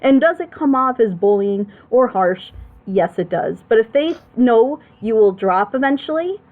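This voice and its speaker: A woman's voice: a little high, lively intonation, measured pace, narrow, tinny audio. A woman commentating in a casual, opinionated chat, emphatically repeating her points. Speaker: reaction show commentator.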